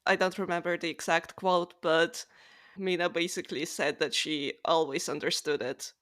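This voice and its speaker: A woman's voice: lowish voice, steady tone, normal speed, a bit bright. A woman co-hosting a podcast, talking through books in a casual, opinionated way. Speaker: podcast co-host. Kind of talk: casual book discussion.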